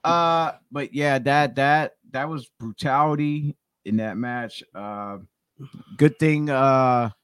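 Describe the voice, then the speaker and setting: A man's voice: medium pitch, slow pace, fairly bright tone, smooth, no echo. A man in his forties chatting casually and jokingly as co-host of a talk show. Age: 40s. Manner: joking, banter-prone. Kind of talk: casual chat.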